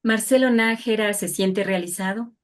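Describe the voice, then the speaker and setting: Female program host, medium pitch, courteous and formal interviewing delivery. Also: moderate pace.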